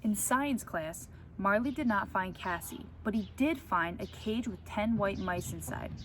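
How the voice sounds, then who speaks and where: A woman reading a children's picture book aloud as a teacher would, expressively acting out the story. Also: unhurried. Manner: expressive, acts out the characters' lines. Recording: clear background noise, ambient rumble.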